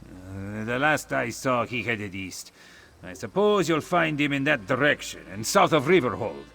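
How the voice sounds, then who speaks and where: A suspicious, sneering local from a fantasy game. The voice is creaky and husky, with lively swings in pitch as he accuses and complains. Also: unhurried, exaggerated voice. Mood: exasperated. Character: suspicious, scornful male local of a fantasy land. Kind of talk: video game character acting.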